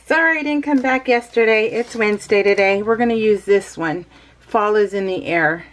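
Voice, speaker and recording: A woman's voice: mid-range voice, moderate pace. A middle-aged American woman chatting casually over her craft project as a vlogger, rambling and easily sidetracked, with a bubbly manner.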